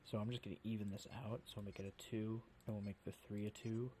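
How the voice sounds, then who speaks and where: A man with a fairly low, warm voice talks through a video game in loose, casual commentary. He's a little sniffly from allergies and keeps stopping to fix his wording mid-sentence. There's a faint hum of room noise behind him. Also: flat delivery, quick pace. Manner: rambling, self-correcting, lots of fillers. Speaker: let's play host.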